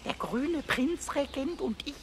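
A tiny, mischievous kobold in a children's radio play. The voice is very high, excited and boastful, on a clearly noisy recording. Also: male voice, a little slow. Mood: excited, cheeky.

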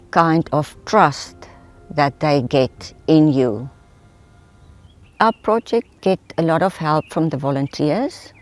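Low-voiced middle-aged woman. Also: a little mellow. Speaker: wildlife project founder. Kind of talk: documentary interview. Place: outdoors in open bush, seated.

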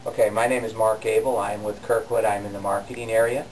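A man who teaches and works in marketing introduces himself informally in a halting way. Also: lowish voice, level tone. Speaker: college marketing staffer and teacher. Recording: noisy, slightly distant camera microphone.

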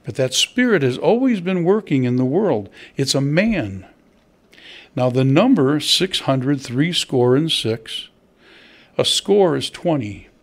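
A man's voice: medium pitch, big pitch swings, takes it slowly. An older man, a pastor, preaching a sermon at a church pulpit, conversational and asking his listeners questions. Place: church hall at a wooden pulpit. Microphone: stand mic at the pulpit.

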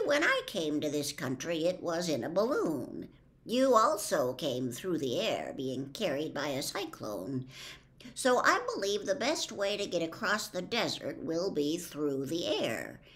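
A woman's voice: sits low, full character voice. A woman in her sixties voicing a humble male wizard who admits to being a humbug, speaking in a mild, confiding way. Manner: mild, confiding, gently pleasant.

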